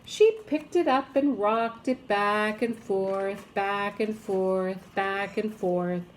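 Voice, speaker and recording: A woman teacher reading a children's story aloud in expressive narration, stretching out repeated phrases for emphasis. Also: medium pitch, slow, a little hiss or hum.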